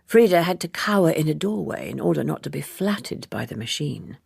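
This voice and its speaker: A woman narrates a literary audiobook with measured precision. Her voice is low-set and heavily creaky, with a husky grain, recorded very dry. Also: animated, a little mellow, dry recording.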